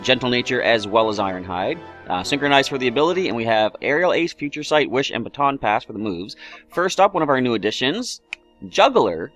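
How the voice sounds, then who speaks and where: A man hosting a game playthrough in a chatty, rambling way, his voice slightly raspy and broken by throat-clearing. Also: mid-range voice, a bit bright. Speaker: let's play host. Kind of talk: casual game commentary.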